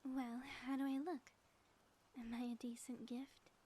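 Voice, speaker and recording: Woman acting a breathy, playful ex-villain wife, softly mellow. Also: on the high side, level tone, measured pace. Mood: tender, flirtatious. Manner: teasing, breathy, often dropping toward a whisper. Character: playful, flirtatious ex-villain wife, theatrical yet sappy and affectionate. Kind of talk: intimate ASMR audio roleplay. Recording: faint background noise.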